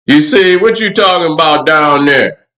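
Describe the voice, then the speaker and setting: High bright-voiced profane livestream host. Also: male voice, lively intonation, normal speed, low-quality recording. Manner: profane, rambling, repeats "you know what I'm saying". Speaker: livestream host answering chat. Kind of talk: casual livestream commentary.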